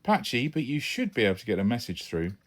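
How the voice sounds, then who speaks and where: A man's voice: mid-range voice, sing-song, fast talker, voice pushed up. A man voicing a helpful, alert male spaceship pilot in a children's science fiction audiobook. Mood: matter-of-fact.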